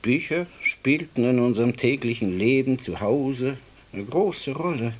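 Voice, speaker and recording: An actor playing a gentle, devout librarian in a radio drama, reverent and reflective, with a husky breathy voice. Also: male voice, medium pitch, animated, a little slow. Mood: reverent, wistful. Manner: reverent, reflective storytelling with deferential asides.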